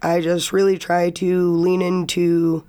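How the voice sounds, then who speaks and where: A hoarse young woman, a student guest on a college radio interview, speaking earnestly and reflectively while sick. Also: lowish voice, little pitch movement, slow, mellow. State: hoarse from illness. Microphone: studio microphone.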